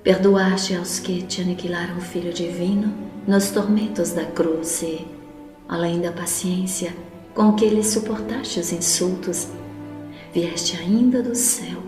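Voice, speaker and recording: A woman's voice: on the low side, steady tone, measured pace, lightly acted. A woman playing a humble, grieving wife in an audio drama, praying in a solemn, pleading manner. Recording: echoey room with loud music bed. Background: prominent background music.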